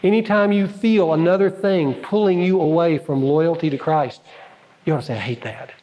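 Pastor, man, higher voice, dramatic sermon delivery, long church reverb. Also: normal speed. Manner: dramatic preaching, swings between loud emphasis and quiet. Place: church sanctuary. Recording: large hall with long reverb.

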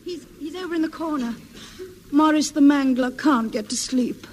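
An actress plays a practical, dry-witted woman in a 1950s British radio sitcom. Her voice is high, and the old recording carries faint noise. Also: normal speed, natural voice. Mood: matter-of-fact. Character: practical woman, dry foil to blundering men.

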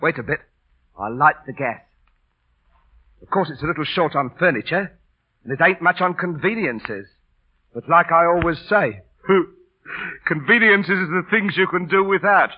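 An actor playing a cocky, teasing but kindhearted working-class London busker, in theatrical old radio drama style. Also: male voice, fairly high pitch, expressive, a little slow, pauses often, breathy edge. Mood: wry, teasing. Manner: theatrical, wry, colloquial. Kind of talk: 1930s-40s radio drama acting. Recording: muffled old radio broadcast recording.

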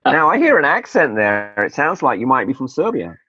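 A man's voice: medium pitch, big pitch swings, brisk, sharp tone, husky, dry recording. A man hosting a podcast interview, chatting casually with wry, digressive asides. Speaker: podcast host. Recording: dull lo-fi dry sound.

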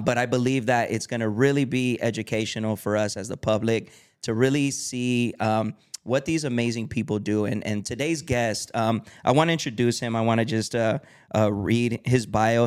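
A man's voice: medium pitch, moderate pace, few pauses, clean tone. Eager podcast host, man. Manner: enthusiastic, many fillers, reads the guest's bio aloud. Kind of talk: casual podcast interview.

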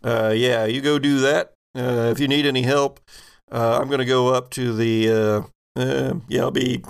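A man playing a steady, businesslike spaceship captain in a game, giving orders haltingly with many pauses. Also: mid-range voice, creaky, clear, resonant tone. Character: salvage ship captain, male, steady, businesslike, hesitant.

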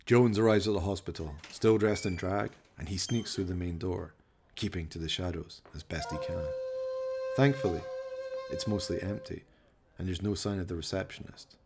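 A man's voice: low-pitched, normal speed, music in the background. A man narrating a radio play as dramatic scripted storytelling.

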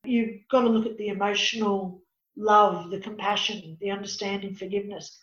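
A woman in her fifties with a raspy voice, speaking as an interview guest and life coach. She talks in an emphatic, preachy way and often says "you know.". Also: medium pitch, little pitch movement, normal speed, a bit bright. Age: 50s. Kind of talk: earnest personal conversation. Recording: echoey, dull lo-fi audio.

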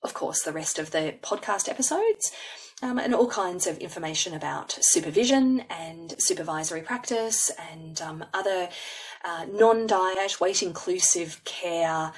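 Rambling, softly spoken woman host. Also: on the low side, animated, measured pace, far from the mic. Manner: warm, rambling with many fillers and restarts. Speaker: podcast host. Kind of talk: casual podcast introduction.

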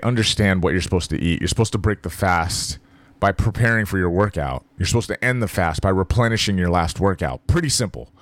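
Male host, very low voice, dry close sound, joking and loose. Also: no echo. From Philippines. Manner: loose, joking, talks to the listener directly. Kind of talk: casual monologue.

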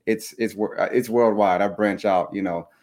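A man talks as an interview guest, polite and earnest. His voice is a little low, and he often repeats a word as he gets going before settling into his point. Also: steady tone, normal speed, a little mellow. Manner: polite, earnest, repeats words when starting. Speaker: guest, leadership coach. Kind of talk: conversational interview.

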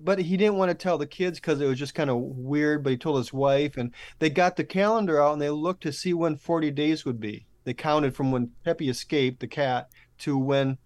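A man with a slightly high voice, recorded dry and close. He talks like a podcast guest telling stories from his work, chatty and casual, with an animated delivery. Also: moderate pace, hardly any pauses, no room sound. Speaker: audio drama producer and podcast guest. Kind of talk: casual interview storytelling.

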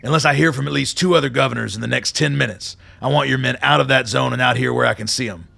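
Guy playing tough army colonel in a radio play, super gravelly, forceful, blunt and bossy. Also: mid-range voice, fast talker, no room sound, exaggerated voice. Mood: stern, commanding. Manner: gruff, authoritative, blunt. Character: hard-bitten, commanding, profane Texas army colonel. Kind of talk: radio drama acting.

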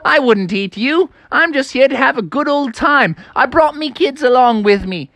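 Woman putting on a jolly, high-pitched father wolf. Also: expressive, normal speed, fairly bright tone, dry recording. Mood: jovial, reassuring.

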